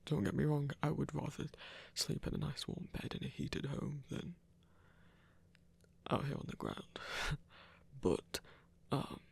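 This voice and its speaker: Man playing a husky, hesitant werewolf hunter. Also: on the high side, little pitch movement, measured pace, full character voice. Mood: shy, reflective. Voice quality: breathy, husky. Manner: hesitant, self-effacing, stammering. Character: awkward, protective werewolf hunter living rough in the woods. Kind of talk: intimate ASMR audio roleplay.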